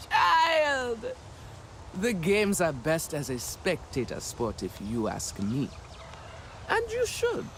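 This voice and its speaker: Casting: teasing clan elder for a game, high-pitched and drawling, very animated, slight background noise. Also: male voice, extremely slow, creaky, long pauses, exaggerated voice. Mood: teasing, amused. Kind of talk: game character acting.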